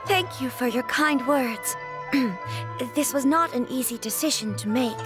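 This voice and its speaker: High-voiced, gracious young noblewoman, music behind. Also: slow pace. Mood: gracious, resolute. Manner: polite, formal, hesitant. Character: anxious young noblewoman, gracious bride-to-be with family burdens. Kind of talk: video game voice acting.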